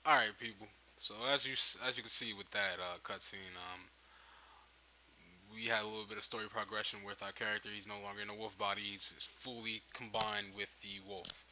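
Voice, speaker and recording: A man giving a casual, off-the-cuff software tutorial, repeating and restarting his phrases as he goes. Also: fairly low pitch, fairly quick, poor audio. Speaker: tutorial host. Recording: hissy home microphone recording. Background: steady hiss.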